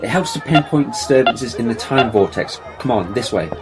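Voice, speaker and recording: A clever, self-assured time-travelling adventurer, played by a man, speaks at a medium pitch. He explains things with confidence in audio drama style, with music clearly playing behind him. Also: expressive, moderate pace, natural voice. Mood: brisk, puzzled. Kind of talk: fan audio drama acting.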